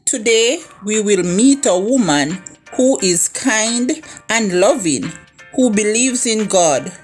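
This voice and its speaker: A woman hosting an interview, calm and encouraging, prompting her guest with short replies. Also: medium pitch, slow pace, soft background music. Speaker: host.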